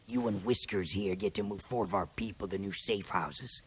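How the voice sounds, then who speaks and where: Deep, gruff resistance commander. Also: male voice, normal speed. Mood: curt. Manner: curt, no-nonsense. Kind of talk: animated video game acting.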